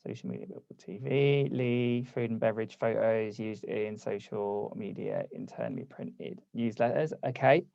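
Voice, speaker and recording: A man's voice: fairly low pitch, lively intonation, moderate pace, vocal fry, few pauses, warm tone, dry recording, muffled recording. A man hosting a live online workshop over a video call, casual and conversational, losing his voice. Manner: casual, conversational, reads chat aloud. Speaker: workshop host.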